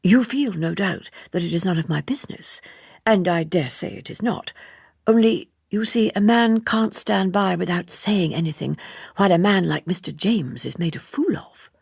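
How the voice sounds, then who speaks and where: A woman reading an audiobook, voicing an earnest, fussy gentleman who speaks formally and insistently in roundabout sentences. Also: on the low side, moderate pace, vocal fry, breathy edge, dry recording, clean studio sound. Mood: grave, insinuating. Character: earnest, fussy, gossiping gentleman, gravely indignant. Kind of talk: audiobook character dialogue.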